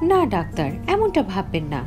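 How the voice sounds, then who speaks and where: A woman's voice: mid-range voice, huge pitch swings, moderate pace, a little mellow. A woman giving dramatic, theatrical first-person narration of a horror story, voicing the female lead's dialogue. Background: background music.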